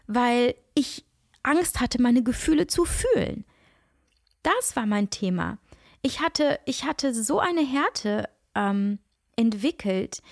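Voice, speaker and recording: A woman's voice: on the high side, creaky, dry recording, clean studio sound. A middle-aged woman who is nasal from a cold, hosting a reflective solo podcast in an earnest, searching way with frequent restarts. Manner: earnest, searching, many restarts and soft asides. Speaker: podcast host and coach.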